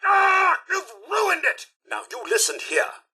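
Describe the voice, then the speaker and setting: Prim british robot butler for a game, high voice, pitch swoops all over, very proper and servile. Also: male voice, huge pitch swings, slow, pushed and bright, a little hiss or hum, full character voice. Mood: indignant, flustered. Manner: formal, servile, theatrical diction. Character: fussy, prim, loyal male robot butler and attendant. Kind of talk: video game voice acting.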